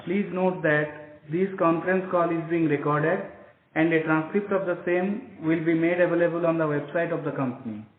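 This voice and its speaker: Over a tinny, echoing phone line, an Indian man reads a formal corporate script. His voice sits a little high for a man. He speaks as a company officer on an earnings call.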